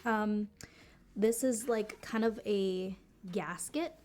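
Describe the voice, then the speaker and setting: A chatty woman hosts a live stream from her basement studio at home and shows off keyboards in a loose, rambling way. She fills her talk with lots of "um"s and "like"s and restarts her sentences. Her voice sounds a little deeper than usual because she is sick. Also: slow pace, a little mellow. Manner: chatty, many fillers and restarts. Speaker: livestream product host. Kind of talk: casual live-stream product demo.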